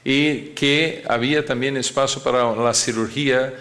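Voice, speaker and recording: A man who is not a native Spanish speaker gives a medical lecture, often halting as he searches for words. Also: medium pitch, fairly even intonation, a bit bright. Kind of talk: conference lecture.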